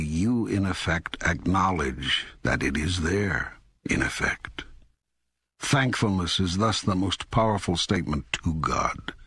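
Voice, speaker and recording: God in an audiobook, super husky low voice, calm solemn teacher, slow emphasis. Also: male voice, dry recording, clean studio sound, heavily acted. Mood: solemn, instructive. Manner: measured, solemn, deliberate emphasis. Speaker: audiobook co-narrator. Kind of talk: scripted audiobook dialogue, reading.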